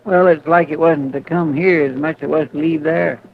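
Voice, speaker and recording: An actor playing a folksy, deferential Texan sidekick with a in a 1950s radio western. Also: male voice, on the high side, fairly even intonation, creaky. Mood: sheepish, candid. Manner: folksy, plain-spoken, halting. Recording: tinny vintage radio recording.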